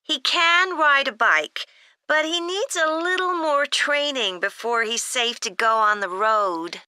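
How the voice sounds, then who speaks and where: A woman's voice: high-pitched, lively intonation, unhurried, sharp tone, no room sound, heavily acted. A woman playing a polite mother making an enquiry call in an acted listening-test dialogue. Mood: politely curious. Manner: polite, inquiring. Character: friendly mother phoning to ask about a kids' camp. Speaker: caller.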